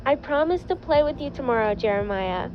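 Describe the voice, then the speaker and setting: A woman voicing a proud, warm, easily amazed girl in a story reading. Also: high voice, lively intonation, a little fast, lo-fi sound, noisy background. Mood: warm, reassuring. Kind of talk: storybook-style character voice in fan fiction reading.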